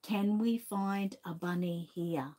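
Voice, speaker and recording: A woman in her forties with an Australian accent, reading a storybook aloud to a toddler in a gentle, coaxing way, seated indoors close to the camera. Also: medium pitch, takes it slowly. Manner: gentle, sing-song, coaxing. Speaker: channel host and grandmother. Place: indoor room with shelves, seated. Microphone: fixed camera at close range.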